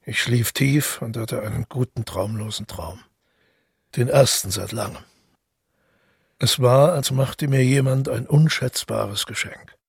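An actor playing a weary, solitary murderer who calmly recalls his deed in a reflective radio drama monologue. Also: medium pitch, unhurried, no echo, studio quality, exaggerated voice. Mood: brooding, matter-of-fact. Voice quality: husky, gravelly.

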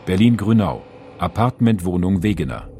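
An actor as a sober announcer in a German radio crime drama, reading in a clipped, deliberate way. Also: male voice, low voice, big pitch swings, warm tone, soft background music. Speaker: announcer of times, places and title.

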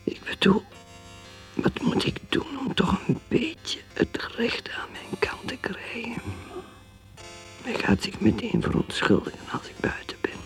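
A woman's voice: a little high, measured pace, vocal fry, soft background music. An actress playing a bitter, ambitious middle-aged mother who drinks, in an intense, dramatic radio play style. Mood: hurt brooding. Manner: intense, dramatic, swinging between speech and whispered brooding. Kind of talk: radio drama acting, dialogue and inner monologue.

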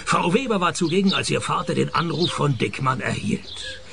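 A man acting a wry, deadpan private detective in a radio play. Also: on the high side, normal speed, creaky, noisy background. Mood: matter-of-fact accusing. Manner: dry, deadpan. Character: wry, deadpan private detective narrating his own cases.

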